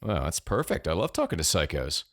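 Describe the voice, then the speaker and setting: A man's voice: low-pitched, wildly swooping pitch, a little fast, vocal fry, exaggerated voice. A man plays a young American prankster in an audiobook story. He talks casually, swears freely and teases with open, mischievous amusement. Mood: delighted.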